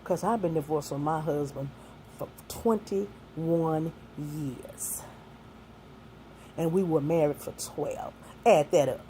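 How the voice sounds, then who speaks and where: A woman in her sixties shares hard-won advice with young people in a folksy, heartfelt way, leaning on faith and plain talk. Her voice sits low and mellow. A faint hiss of noise runs under the recording. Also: slow pace. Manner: earnest and folksy, mixing faith and street talk. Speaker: speaker giving life advice.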